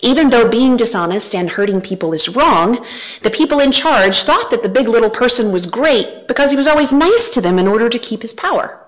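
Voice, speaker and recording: A woman's voice: a little high, brisk. A woman in her thirties giving an earnest church talk from a lectern, gesturing as she speaks, on a headset mic. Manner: earnest, animated gestures, varied emphasis.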